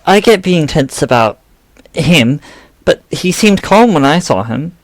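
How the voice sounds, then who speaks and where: A man's voice: fairly high pitch, big pitch swings, measured pace, no room sound. A man playing a cautious, kindly young friend in an audio drama, speaking in a hesitant, tentative way. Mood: reassuring.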